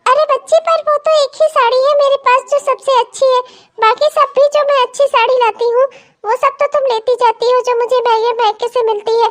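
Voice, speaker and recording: Woman acting a high-voiced, meek daughter-in-law. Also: fairly even intonation, brisk. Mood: placating. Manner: soothing, pleading, submissive. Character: meek, placating elder daughter-in-law keeping the peace. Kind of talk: Hindi family melodrama story acting.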